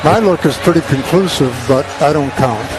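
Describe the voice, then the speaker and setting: A man giving conversational color commentary on a football radio broadcast, with wry, halting asides. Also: fairly high pitch, noisy background. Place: stadium broadcast booth.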